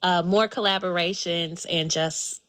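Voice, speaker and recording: An American woman, a podcaster, giving a casual shout-out in a voice message. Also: fairly low pitch, fairly even intonation, a little slow. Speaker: podcaster leaving a voice note.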